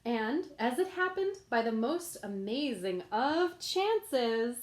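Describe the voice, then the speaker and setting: A woman's voice: fairly high pitch, sing-song, slow pace. A woman reading a rhyming children's picture book aloud in a playful, sing-song storytelling manner.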